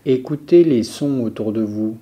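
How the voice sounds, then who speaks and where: A man leading a guided yoga nidra relaxation as a teacher, giving calm, measured instructions with pauses. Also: medium pitch.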